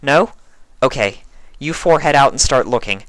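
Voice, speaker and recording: A storyteller voicing an earnest, businesslike young mare who explains and instructs in a measured way. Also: mid-range voice, expressive, a bit bright, dry recording, voice pushed up, close to an everyday voice. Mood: instructing. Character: earnest, businesslike, take-charge young female pony leader.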